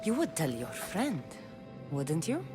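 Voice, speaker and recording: Slow, menacing warrior woman. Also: deep voice, wildly swooping pitch, over music, exaggerated voice. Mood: falsely soothing. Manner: slow, taunting, threatening. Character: menacing female warrior interrogator, cold and falsely friendly. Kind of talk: video game voice acting.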